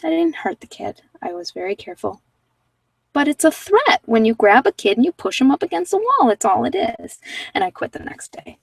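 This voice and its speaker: A woman's voice: high-pitched, very animated, fairly quick, gravelly, breathy edge, dry recording, far from the mic, rough recording. A woman talking in a casual, conversational way as she hosts an informal tarot card reading. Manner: conversational, informal, anecdotal asides.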